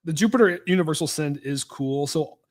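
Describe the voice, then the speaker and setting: A man's voice: on the high side, brisk. A man co-hosting a crypto podcast, speaking casually and hesitantly, with frequent restarts and fillers. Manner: hesitant, many restarts and likes. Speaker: podcast co-host. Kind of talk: casual chat.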